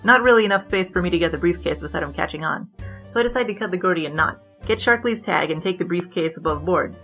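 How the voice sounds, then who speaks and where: Brash, glib noir bandit queen, played by a woman, lowish forceful voice, comic audio drama with music underneath. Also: fast. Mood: brisk storytelling. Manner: glib, digressive, argumentative. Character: brash, chatty, flirtatious female bandit king confessing her crimes.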